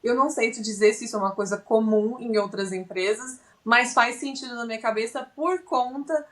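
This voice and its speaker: A woman's voice: on the high side, fairly quick, a bit bright. A woman with a Brazilian Portuguese accent, a podcast guest telling her experiences in a detailed, explanatory way. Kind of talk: casual interview storytelling.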